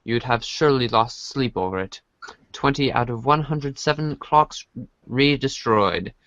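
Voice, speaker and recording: A man reading comic narration aloud for a podcast, halting and correcting himself as he goes. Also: mid-range voice, fairly bright tone, dry recording, muffled recording. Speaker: reader in a webcomic reading podcast.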